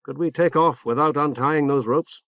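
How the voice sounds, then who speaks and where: A man voicing a gentle, thoughtful male leader in an audiobook, soft and measured. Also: fairly high pitch, no echo, phone-quality sound, natural voice. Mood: grave.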